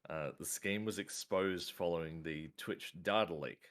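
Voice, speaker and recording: Low-voiced male host, halting news read full of fillers. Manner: hesitant, many "uh" fillers. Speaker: podcast host reading news. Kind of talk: casual news roundup.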